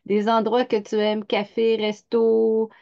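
A woman from Montreal with a Quebec French accent, hosting a relaxed chat on a video call. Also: a little high, takes it slowly, gravelly, no echo. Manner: relaxed, chatty, laughs easily. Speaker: host. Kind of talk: casual interview. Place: home room. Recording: dry, dull lo-fi call audio.

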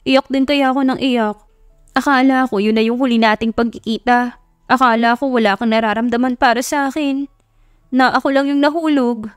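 A woman's voice: high-pitched, steady tone, moderate pace, clean tone, no echo, studio quality, faint music behind, natural voice. A woman voicing a feisty young woman in love who is emotional and disbelieving, in an audio love story. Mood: hurt, indignant. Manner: emotional, exclaiming, teasing.

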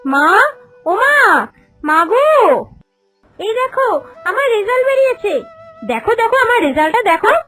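A woman puts on an excited schoolgirl for a Bengali cartoon. The voice is very high and lively, eager and proud, with faint music underneath. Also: moderate pace, pauses often, exaggerated voice. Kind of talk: animated cartoon voice acting.

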